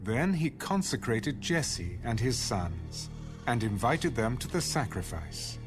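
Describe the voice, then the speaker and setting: A man's voice: medium pitch, sing-song, slow pace. A man giving scripted narration of a Bible story as the narrator of a dramatized reading. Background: music.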